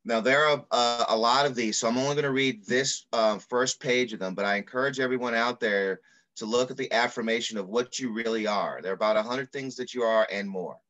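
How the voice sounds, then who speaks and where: A middle-aged man reading aloud in the style of a children's picture book, with measured, deliberate phrasing. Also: medium pitch, fairly bright tone. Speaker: pediatrician reading a story aloud. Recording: muffled video call audio.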